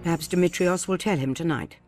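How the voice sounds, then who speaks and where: A man playing a composed intelligence chief in a video game cutscene, speaking in a dry, measured way. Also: a little high, natural voice. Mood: dry, serious. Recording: game music behind the voice.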